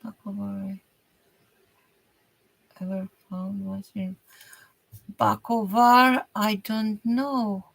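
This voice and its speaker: A woman from Romania with a Hungarian accent, chatting slowly and haltingly to her viewers while she crafts and thinks aloud. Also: mid-range voice, level tone, vocal fry, fairly bright tone, poor audio. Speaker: crafter streaming a journaling session. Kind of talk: casual live-stream chat while crafting.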